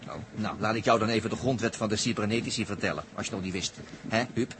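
A man's voice: fairly low pitch, fairly even intonation, quick pace, close to an everyday voice. Chatty, sardonic spaceman, acted by a man. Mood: impatient. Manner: wry, chatty, needling. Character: talkative, sardonic male space traveller, impatient and bantering. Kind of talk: 1960s Dutch radio play acting. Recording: vintage radio recording with faint noise.

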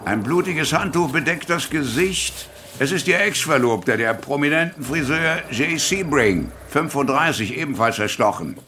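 An actor playing a matter-of-fact police sergeant giving a clipped crime scene report in a German radio play. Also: male voice, fairly high pitch, animated, moderate pace, bright, forceful tone, a little hiss or hum. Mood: sober, official.

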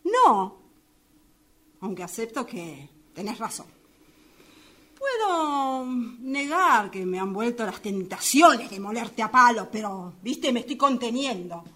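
Actress as high-voiced, volatile Argentine bully. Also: female voice, big pitch swings, slow pace, bright, forceful tone, exaggerated voice. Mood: needling, indignant. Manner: theatrical, mocking, erupting into threats. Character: domineering, short-tempered, violent woman tormenting her companion. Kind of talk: radio drama acting.

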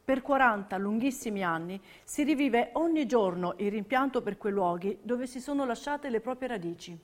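An actress narrating a documentary stage play in an emphatic, scripted, theatrical style. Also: female voice, on the high side, expressive, moderate pace, natural voice. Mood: solemn.

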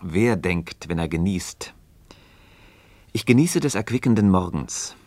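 Cryptic Freemason, low-pitched, calm, measured, formal radio drama dialogue, dry studio sound. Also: male voice, no room sound. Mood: composed. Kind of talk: German radio play dialogue.

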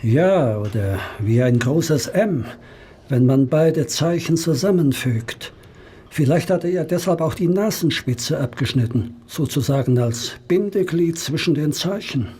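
A commanding police inspector in a radio play. His voice sits a little high and has a husky grain, with a clipped, businesslike delivery. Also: lively intonation, measured pace, warm tone. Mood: pondering. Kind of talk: German radio play acting.

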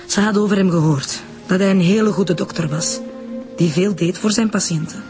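An actress with a Flemish accent playing a firm, defensive, grieving mistress in a radio drama. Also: female voice, fairly low pitch, moderate pace, music in the background, close to an everyday voice. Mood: defensive, earnest. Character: dead doctor's defensive, grieving secretary and mistress.

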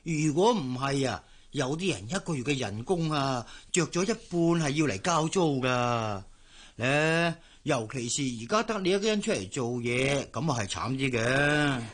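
Man acting as old male servant, husky, very expressive pitch, Hong Kong Cantonese, avuncular, moralising, radio drama. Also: normal speed, natural voice. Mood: sympathetic. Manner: avuncular, sighing, proverb-quoting.

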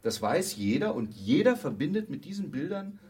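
A man's voice: fairly high pitch, sing-song, moderate pace, a little mellow, breathy edge, full character voice. An actor playing a glib, self-assured advertising man who explains matter-of-factly and asks rhetorical questions in a radio play. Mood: matter-of-fact, knowing. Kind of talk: German radio play, conversational monologue acting.

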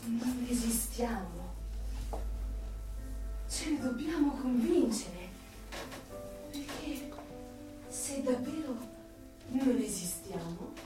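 A woman's voice: fairly high pitch, lively intonation, drawn-out words, long pauses. A woman playing an intense, resolute yet lonely secret rebel in an Italian radio drama. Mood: pensive. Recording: very echoey, distant, music behind.

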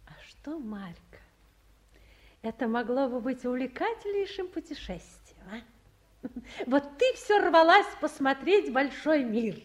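A woman's voice: high-pitched, heavily acted. An actress playing a middle-aged mother, tender but guarded, in theatrical 1950s Soviet radio play style. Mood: gracious, coaxing. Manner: theatrical, expressive, slips between hushed pleading and brisk hospitality.

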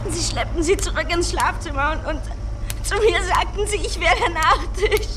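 A woman's voice: extremely high pitch, normal speed, noisy background. An actress playing a tough young runaway woman in a radio drama, slangy and blunt but needy underneath. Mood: shaken, distressed. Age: young adult.

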